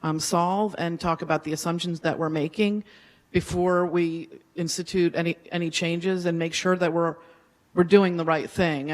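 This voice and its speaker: Female resident, public comment; loud voice, earnest, a little hesitant, podium mic. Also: on the low side. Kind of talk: public comment at a town council meeting. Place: council meeting chamber. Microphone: podium microphone.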